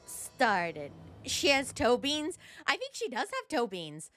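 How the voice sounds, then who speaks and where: A woman's voice: high voice, wildly swooping pitch, slow, bright, forceful tone, faint music behind. A young adult woman giving casual live game commentary as a streamer, in playful, rambling asides with repeated words.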